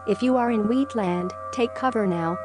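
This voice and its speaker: A woman's voice: a little high, normal speed, lightly acted. Woman playing a local radio announcer, music behind. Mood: urgent warning. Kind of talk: scripted emergency radio announcement.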